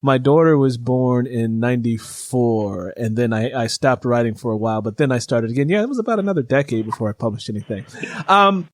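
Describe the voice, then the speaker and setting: A man's voice: mid-range voice, brisk, no echo. Dry-sounding middle-aged man, chatty interviewer. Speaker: interviewer, host. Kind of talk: casual conversational interview.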